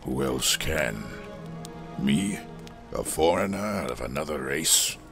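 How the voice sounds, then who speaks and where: A solemn, weathered smith of a fantasy audio drama, with an extremely low voice full of gravel. He speaks slowly and weightily, like a reflective former preacher who has survived hard years. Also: lively intonation, over music, heavily acted. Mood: earnest, urging.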